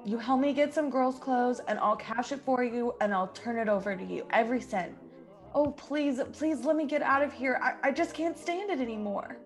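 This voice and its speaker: A woman's voice: high voice, moderate pace, soft background music, close to an everyday voice. A woman playing a plucky, earnest young woman in an old-time radio drama. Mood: desperate. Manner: earnest, imploring. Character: plucky, pleading young woman stranded in boy's disguise.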